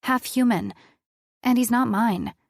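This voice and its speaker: A woman voicing a sarcastic young heroine who talks quickly with wry defiance. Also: fairly high pitch, vocal fry, dry recording, clean studio sound, voice pushed up. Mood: defiant.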